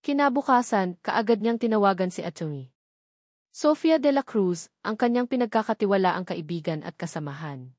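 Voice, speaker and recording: A woman's voice: mid-range voice, expressive, moderate pace, a little mellow, no echo, studio quality. A woman reading a scripted dramatic story as its narrator.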